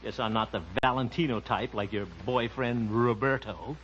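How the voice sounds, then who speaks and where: An actor playing a bashful, jealous suitor in a sheepish, hesitant way, in old radio comedy style. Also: male voice, medium pitch, fairly even intonation, moderate pace, fairly bright tone, slight background noise, close to an everyday voice. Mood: sheepish, wounded. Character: bashful, jealous male suitor in gaucho costume, serenading. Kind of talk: 1940s-50s radio comedy acting. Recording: dull old-time radio recording with music.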